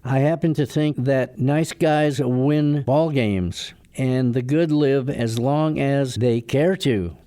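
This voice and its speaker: A man's voice: mid-range voice, dark tone, close to an everyday voice. A man acting in a radio drama as a good-natured, lovestruck young lawyer who stands up to his father.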